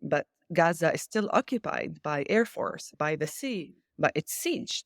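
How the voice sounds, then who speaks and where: A woman's voice: sits low, lively intonation, unhurried, gravelly, no echo, studio quality. A woman from Israel speaking as an interview guest in a political discussion, challenging and insistent, repeating key phrases for emphasis.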